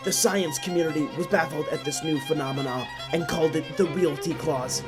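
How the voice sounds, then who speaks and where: A man with a somewhat high-pitched voice tells a scripted story as a narrator. His delivery is theatrical and solemn, with a literary feel. Violin music plays faintly underneath. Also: brisk. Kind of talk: scripted dramatic storytelling for a puppet show.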